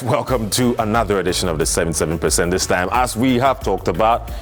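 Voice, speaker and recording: A man hosting a lively debate show, talking in a provocative, challenging manner. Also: fairly low pitch, fast, over music.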